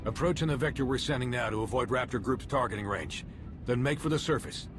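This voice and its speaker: A man's voice: mid-range voice, quick pace, over music, lightly acted. A man playing a businesslike military officer in a sci-fi game, briefing in a clipped, urgent, formal way. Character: crisp, businesslike male military officer giving tactical briefing.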